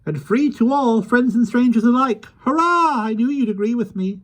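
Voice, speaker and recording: A man's voice: high voice, very animated, normal speed, pitched above the performer's own voice. A man reading a storybook aloud, voicing a cheerful, chatty little carpenter with quaint old-fashioned sayings.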